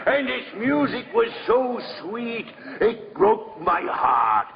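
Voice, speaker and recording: Radio drama villain: a giant mocking bully, bombastic and cruel, slow delivery pitched very high. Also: male voice, big pitch swings, creaky, full character voice. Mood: mocking, boastful. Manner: bombastic, taunting, theatrical. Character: huge cruel bullying man mocking a captive. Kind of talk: old-time radio drama acting. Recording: muffled 1950s radio recording, crowd noise.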